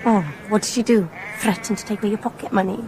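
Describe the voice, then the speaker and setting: A woman's voice: medium pitch, fairly even intonation, fast talker, a little mellow, close to an everyday voice. An actress playing a cheeky, teasing Welsh teenage village girl in a naturalistic radio drama. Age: young adult. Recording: noisy outdoor ambience.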